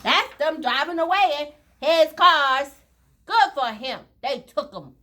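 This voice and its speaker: Very high, bright woman reading to children. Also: lively intonation, slow pace. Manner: dramatic, with short phrases, addressing the children directly. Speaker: storyteller reading a picture book to children. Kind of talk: expressive read-aloud for children.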